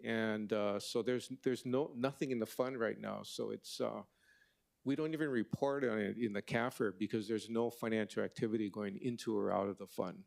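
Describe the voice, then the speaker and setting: A man with a lowish, gravelly voice answers council questions about city finances. He stops and restarts often, doubling up his words. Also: steady tone, a little mellow. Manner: halting, repeats words.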